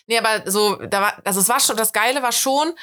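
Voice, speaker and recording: A woman's voice: fairly high pitch, fast. A woman from the Rhineland with a Rhineland German accent, chatting casually as a podcast co-host and acting out her own quotes as she tells stories. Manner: animated storyteller, acts out her own quotes. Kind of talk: casual chat.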